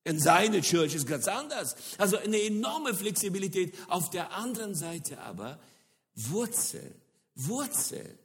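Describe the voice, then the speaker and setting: Animated middle-aged man in a reverberant hall. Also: high voice, measured pace. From former Soviet Union. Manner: animated, repeats words for emphasis, frequent hesitations. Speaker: speaker on church mission. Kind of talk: lively lecture-sermon.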